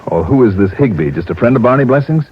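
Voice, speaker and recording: An actor playing a calm, shrewd American insurance investigator, asking measured, probing questions in old-time radio drama style. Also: male voice, mid-range voice, slight background noise, natural voice. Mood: curious. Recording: dull vintage radio recording.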